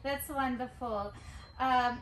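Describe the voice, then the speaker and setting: A woman's voice: high-pitched, steady tone, takes it slowly. A woman, hosting a casual farm vlog and interviewing a farmer in an upbeat, encouraging way. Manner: upbeat, encouraging, with many "mm-hmm" backchannels. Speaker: host and interviewer. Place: outdoors on a farm. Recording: roomy outdoor sound with faint noise.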